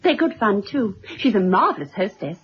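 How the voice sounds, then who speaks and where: An actress playing a lively, worldly young elder sister in a radio drama, with a breezy, gushing, emphatic manner. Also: female voice, mid-range voice, normal speed, slight background noise, close to an everyday voice. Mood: excited, confiding. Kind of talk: period radio drama acting. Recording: dull, muffled old radio recording.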